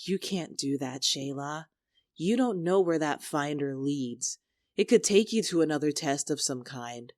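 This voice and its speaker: A woman's voice: sits low, lively intonation, unhurried, darkish tone, no echo, clean studio sound. A woman playing an earnest young woman in a dramatic argument, anxious but stubborn, pleading and defensive. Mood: cautioning. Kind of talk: dramatic two-character argument performance.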